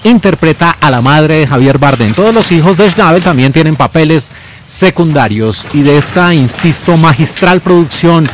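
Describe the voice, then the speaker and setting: A man presenting a film in scripted narration, giving titles and names with deliberate emphasis. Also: fairly high pitch, expressive, slight background noise.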